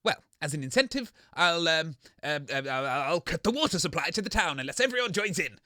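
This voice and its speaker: High-pitched pompous radio host played by a man. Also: animated, fairly quick, sharp tone, no echo, studio quality. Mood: indignant, scheming. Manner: pompous, indignant. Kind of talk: scripted comedy radio drama.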